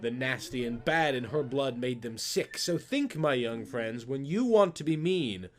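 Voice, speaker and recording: A man's voice: medium pitch, expressive, measured pace. Guy reading a spooky kids rhyme, dramatic and rhythmic, music playing behind him. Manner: theatrical, rhythmic, emphatic. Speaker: storyteller. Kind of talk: dramatic scripted narration of rhyming children's poem.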